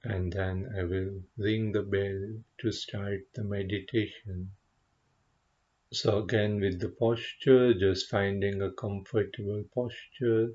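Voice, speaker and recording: A man leading a guided meditation as a teacher, speaking gently and contemplatively, with unhurried pauses between short phrases. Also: lowish voice, muffled recording. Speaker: meditation teacher, Buddhist monk.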